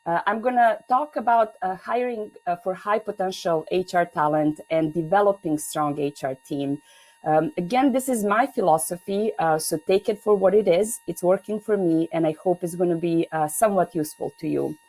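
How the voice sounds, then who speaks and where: Keynote speaker: woman from Serbia, lowish voice, halting delivery full of fillers, heard over a video call. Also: darkish tone, poor audio. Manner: hesitant, many fillers and restarts. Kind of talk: online conference keynote.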